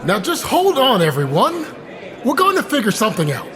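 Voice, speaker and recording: A man's voice: very high-pitched, huge pitch swings, brisk, in a large hall, over music, crowd noise, exaggerated voice. A man playing an anxious, well-meaning pastor in an audio drama, stammering as he tries to placate people. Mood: nervous, reassuring.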